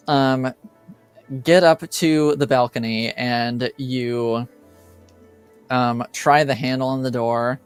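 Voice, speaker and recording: A man of medium pitch acts as game master for a tabletop game. He improvises the narration deliberately, stopping often between phrases. Faint music plays behind him. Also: clear, resonant tone. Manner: halting, deliberate, pauses often.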